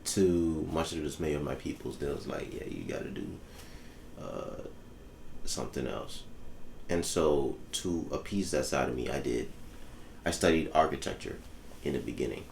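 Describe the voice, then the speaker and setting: A man's voice: rumbling low, expressive, normal speed, gravelly, a little mellow. A young man from the Bahamas, a musician talking easily as an interview guest, laid-back and full of ums. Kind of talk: casual interview chat. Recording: echoey room with faint background noise.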